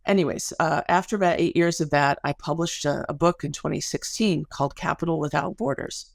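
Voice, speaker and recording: A woman's voice: low-pitched, brisk, creaky, no echo, clean studio sound. A woman being interviewed as an academic researcher, telling stories conversationally with colloquial asides and vivid phrasing.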